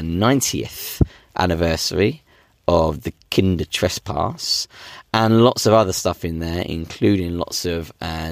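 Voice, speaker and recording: Man, hoarse with a cold, low voice, dry close sound, chatty podcast host. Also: no echo. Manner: chatty and rambling, many fillers. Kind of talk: casual chat.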